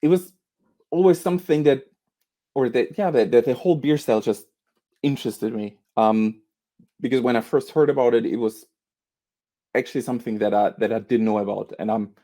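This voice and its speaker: A man from Austria, answering interview questions as a beer author and historian, pausing often with fillers and repeated words. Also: mid-range voice, warm tone.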